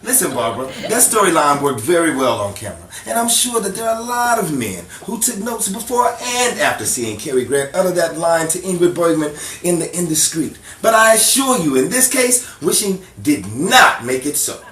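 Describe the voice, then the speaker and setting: A man's voice: a little high, sing-song, moderate pace, hardly any pauses, fairly bright tone. A man acting an exasperated, teasing suburban husband in a 1950s-style radio sitcom, sarcastic and emphatic. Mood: indignant, sarcastic. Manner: sarcastic, emphatic, repeats words for effect. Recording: roomy, distant, noisy home recording.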